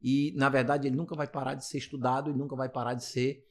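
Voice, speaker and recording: A man's voice: mid-range voice, level tone, fast talker. A man in his late fifties with a Brazilian accent, a physiotherapist being interviewed, talking casually and rambling with many restarts. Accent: Brazilian Portuguese. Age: 50s. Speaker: physiotherapist guest.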